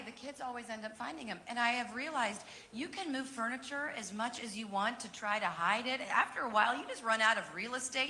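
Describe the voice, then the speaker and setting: A hoarse woman in her thirties telling chatty, humorous family stories to a crowd at a campaign event. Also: a little high, sharp tone, a little hiss or hum. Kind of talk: casual storytelling to a crowd.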